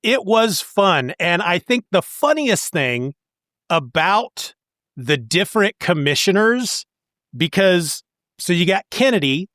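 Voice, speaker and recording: Higher-pitched man hosting a podcast. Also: big pitch swings, slow, fairly bright tone, no room sound, studio quality. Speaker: podcast host. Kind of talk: casual discussion of a comic book story.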